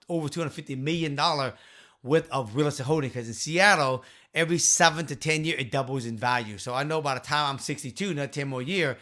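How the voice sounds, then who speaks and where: A man in his fifties telling his story to the camera in a small home office, earnest and emphatic, into a boom-arm studio mic. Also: fairly bright tone. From Vietnam. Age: 50s. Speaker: real estate investor and channel host.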